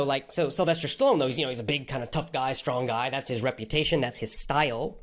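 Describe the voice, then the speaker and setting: Upbeat man teaching English. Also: mid-range voice. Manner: enthusiastic, motivational. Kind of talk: live Q&A with viewers.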